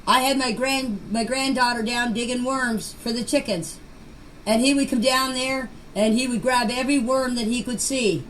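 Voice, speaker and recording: High-voiced older woman, plain-spoken, noisy recording. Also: steady tone, normal speed, fairly bright tone. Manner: plain-spoken, folksy, repeats phrases. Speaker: grandmother recounting memories. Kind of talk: informal personal recollection. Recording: clear background noise, amateur voice clip.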